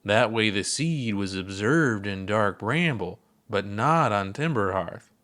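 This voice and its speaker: A man's voice: fairly low pitch, lively intonation, slow, fairly bright tone. A man doing a laid-back old space explorer. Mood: relaxed.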